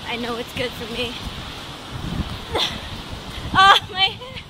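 A woman's voice: high-pitched, sing-song, takes it slowly. A young woman from Sarawak vlogging on a beach, chatty and giggly, filming herself on a phone held at arm's length. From Sarawak, Malaysia. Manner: chatty, giggly, self-mocking. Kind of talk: casual vlog chat. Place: windy beach outdoors. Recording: loud wind noise on the mic.

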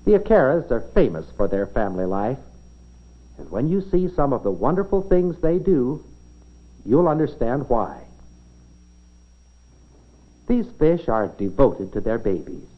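A man's voice: mid-range voice, big pitch swings, measured pace, long pauses. An American man reads scripted 1950s educational film narration, explaining things simply like a storyteller for children. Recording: dull, band-limited 1950s film soundtrack.

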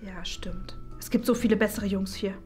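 A woman's voice: medium pitch, fairly even intonation, normal speed, a little mellow, faint music behind, close to an everyday voice. A young woman playing a perky, bossy teenage werewolf roommate in a web skit. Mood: insistent. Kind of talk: improvised web-video skit acting.

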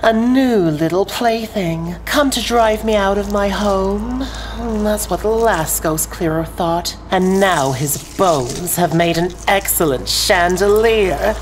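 A woman's voice: medium pitch, takes it slowly, a little hiss or hum, close to an everyday voice. A woman playing a sadistic game villainess with gloating, playful menace. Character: sadistic villainess toying with intruders.

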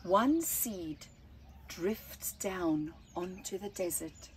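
Very slow-paced woman reading a storybook. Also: fairly low pitch, lively intonation, slight background noise. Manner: deliberate phrasing with dramatic emphasis and hushed words. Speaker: storytime reader. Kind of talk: children's picture-book read-aloud. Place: outdoors at a flower farm. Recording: outdoor ambience with birdsong.

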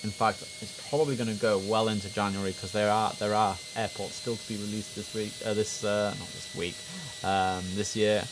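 A man's voice: on the low side, level tone, moderate pace, few pauses. A young man in a headset streaming an air traffic control game, chatting to his viewers and giving clipped radio calls. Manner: chatty, addresses chat, mixes in clipped radio phrasing. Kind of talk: casual gameplay commentary with radio calls. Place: home room at a desk.

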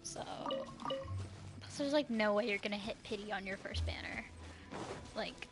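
A woman's voice: mid-range voice, normal speed. A young woman chatting casually as she streams a video game. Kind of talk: casual livestream gameplay chat. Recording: game music behind.

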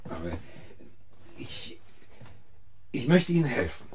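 An actor in a German radio play, playing an agitated man who narrates and speaks as someone drawn into a dark crime. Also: mid-range voice, very animated, slow, a little hiss or hum. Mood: urgent, pleading. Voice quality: husky, raspy. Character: agitated male narrator drawn into covering up a killing. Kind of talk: German radio play acting, first-person narration and dialogue.

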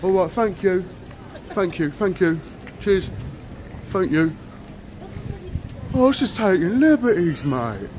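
A man's voice: sits high, expressive, slow pace, pauses often, darkish tone. A young man streaming live on a city street, joking and chatting with strangers on a handheld camera. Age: young adult. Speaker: IRL streamer. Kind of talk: casual street livestream banter. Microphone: handheld camera at arm's length. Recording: outdoor street noise.

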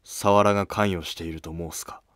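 A man's voice: deep voice, fairly even intonation, normal speed, fairly bright tone, no room sound, clean studio sound. A man playing a stern, sorrowful emperor of ancient Japan, speaking in solemn, commanding archaic phrases for a history drama.

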